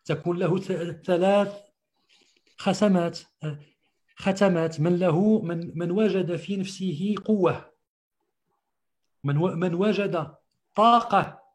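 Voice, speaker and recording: Male religious teacher, earnest, emphatic repeats, slightly high voice. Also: normal speed, long pauses. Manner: earnest, repeats words for emphasis, restarts often. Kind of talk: religious talk answering questions.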